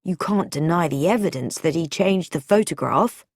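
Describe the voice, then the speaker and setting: A woman's voice: fairly low pitch, expressive, normal speed, dry recording, studio quality. A woman voicing an earnest, protective young male amateur sleuth in a mystery story, with a direct manner. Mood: cold. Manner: direct, reassuring, questioning.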